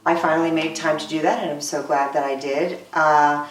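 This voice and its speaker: A woman's voice: low-pitched, steady tone. Earnest woman in her forties, roomy distant sound. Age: 40s. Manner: earnest, chatty, many "um" pauses. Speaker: singer and voice student. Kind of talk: casual interview. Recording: roomy, from off-mic.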